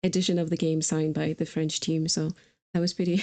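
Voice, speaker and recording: A woman's voice: low-pitched, level tone, fairly quick, dark tone. A woman streamer chatting casually about video games in a conversational, easygoing way. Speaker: streamer host.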